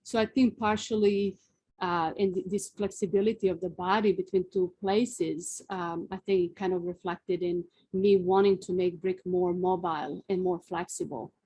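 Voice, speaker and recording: A woman in her forties from Serbia with a Serbian accent, a sculptor giving a careful, unhurried webinar presentation with frequent fillers, speaking outdoors. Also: on the low side, fairly even intonation, mellow, breathy edge, low-quality recording.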